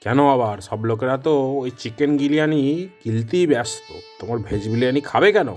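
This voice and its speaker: A man's voice: mid-range voice, fast, darkish tone, faint music behind, close to an everyday voice. A man voicing a grumpy, scheming male biryani seller in a Bengali cartoon, grumbling and sly. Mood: irritated.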